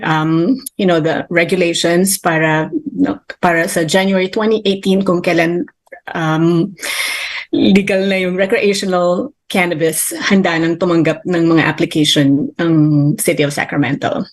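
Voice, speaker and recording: A woman's voice: lowish voice, steady tone, normal speed, rough recording. A woman with a Filipino accent answering interview questions over a video call, switching between Tagalog and English mid-sentence. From Philippines. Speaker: guest on a call.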